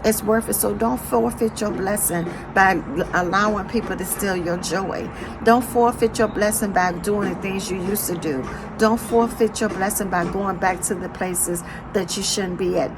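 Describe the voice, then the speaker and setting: A hoarse, straining woman giving an inspirational faith talk, repeating "right?" after her phrases. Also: mid-range voice, background noise. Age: adult with children. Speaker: motivational speaker.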